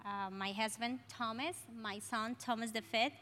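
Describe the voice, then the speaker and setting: A woman with a strong Colombian accent giving a church testimony, halting with many fillers. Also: a little high, fairly even intonation, fairly bright tone. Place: church sanctuary. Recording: church hall reverb, light noise.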